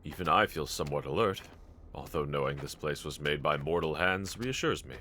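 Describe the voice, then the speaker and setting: A man playing a brave, tender young swordsman in an anime audio roleplay, speaking gently and earnestly to a companion in formal phrasing. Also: extremely low pitch, lively intonation, brisk, slight background noise, full character voice. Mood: gentle, reflective.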